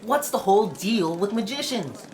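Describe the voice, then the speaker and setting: A woman playing a grumpy, sarcastic junk robot with deadpan insults and stuttering repeats in an audio drama. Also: mid-range voice, moderate pace, close to an everyday voice. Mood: grumbling. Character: grumpy, sarcastic rusty junk robot who taunts humans. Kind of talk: scripted audio drama acting.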